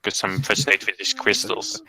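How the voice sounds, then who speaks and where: A man's voice: lowish voice, normal speed, bright, forceful tone, low-quality recording. A man playing a tabletop game, curious and polite, talking in and out of character. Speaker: player. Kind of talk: casual tabletop roleplay.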